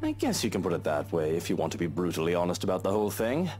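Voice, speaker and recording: Visual novel lord: casual flirt, breezy, very animated intonation, light music underneath. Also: male voice, deep voice, fast. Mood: breezy, chatty. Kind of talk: visual novel game voice acting.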